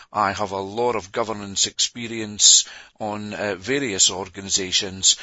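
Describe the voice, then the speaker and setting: Man, Scottish accent, bright tone, dry dull lo-fi sound, measured introduction. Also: fairly low pitch, no room sound. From Scotland. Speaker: board candidate. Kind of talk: spoken introduction at an online meeting.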